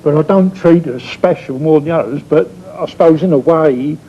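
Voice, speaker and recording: A man guest on a talk show, a father, answering in a hesitant, halting way. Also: slightly rough. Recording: lo-fi TV recording, faint rumble.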